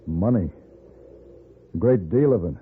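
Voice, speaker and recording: An actor playing a cool, wry, educated gunfighter for hire in a radio western, with a polished, dry delivery. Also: male voice, on the low side, expressive, a little slow, very noisy. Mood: dry, guarded. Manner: polished, dry, self-possessed. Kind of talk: 1950s radio western acting. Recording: muffled old radio recording.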